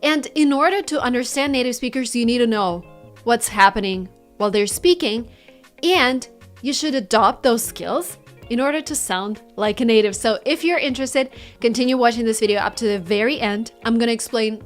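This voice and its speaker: A woman with a Russian accent, teaching an online English lesson and acting out example phrases with lively intonation. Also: fairly high pitch, normal speed, soft background music.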